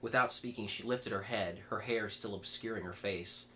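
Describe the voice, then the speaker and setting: A man's voice: lowish voice, normal speed, bright, forceful tone. A man reads a horror story aloud, occasionally stumbling and rereading a word. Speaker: author reading own fiction aloud. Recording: clear background noise.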